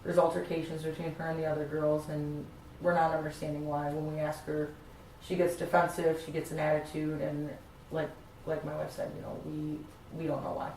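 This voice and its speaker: Woman acting as concerned mom, low voice, roomy echo, plain earnest delivery, halting, therapy role-play. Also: monotone, darkish tone. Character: concerned, puzzled mother backing up her wife. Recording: echoey room, distant mic, faint noise.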